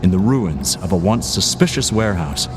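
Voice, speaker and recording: A solemn fantasy storyteller, performed by a man, narrates in a low, grandiose voice. It is dramatic scripted audio drama narration over prominent music. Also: sing-song, moderate pace. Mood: solemn, ominous. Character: grave, epic male fantasy storyteller. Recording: loud music behind the voice.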